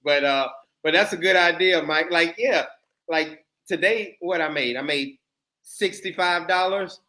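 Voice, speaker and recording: A man's voice: a little high, measured pace, pushed and bright. A man in his thirties hosting a livestream, chatting loosely and joking with guests. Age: 30s. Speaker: channel host. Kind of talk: casual livestream chat.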